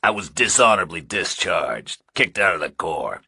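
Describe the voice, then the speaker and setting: A man voicing a bitter, blunt, weary ex-Marine with a gruff delivery in an audiobook thriller. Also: sits low, big pitch swings, moderate pace, sharp tone, no echo, clean studio sound, full character voice. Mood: bitter, resigned.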